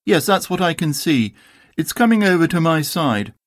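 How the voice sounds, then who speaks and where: Narrator doing a humble teddy bear guy, bit raised in pitch, earnest, hesitant, simple, storybook. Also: big pitch swings, moderate pace, dry recording, clean studio sound, natural voice. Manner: hesitant, simple, well-meaning. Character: earnest, humble, slow-witted male toy bear. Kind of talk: storybook character voice.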